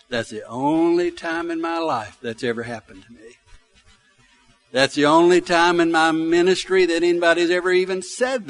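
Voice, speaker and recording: A man's voice: fairly high pitch, fairly bright tone. A man in his sixties with a Southern US accent, preaching a sermon in a folksy, conversational way built on personal stories. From Alabama, United States. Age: 60s. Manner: folksy, conversational storytelling with repeated phrases for emphasis. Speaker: pastor.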